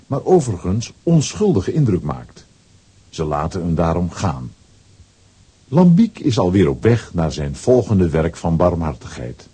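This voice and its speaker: A man narrating a children's audio play as a storyteller, reading scripted lines with lively expression. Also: low voice, slightly rough, heavily acted. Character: male storyteller of a children's comic adventure.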